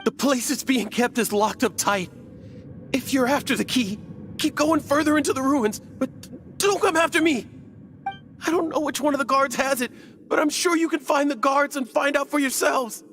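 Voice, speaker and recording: A man's voice: very high-pitched, moderate pace, fairly bright tone, soft background music. A man voicing a cowardly, panicked guard in a video game, stammering and frantic. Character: panicked cowardly male guard, pleading and threatening.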